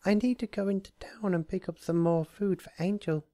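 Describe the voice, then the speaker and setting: A man voicing a gentle, apologetic young female pony in a storybook reading, hesitant, stammering and soft-spoken. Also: sits high, fairly quick, no echo, clean studio sound, pitched above the performer's own voice, lightly acted. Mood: timid, apologetic. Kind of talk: storybook character voice.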